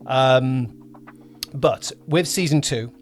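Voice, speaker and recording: A man co-hosting a casual podcast as a producer, talking in a halting way, correcting himself and repeating phrases. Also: medium pitch, slight background noise. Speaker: podcast co-host, audio drama producer.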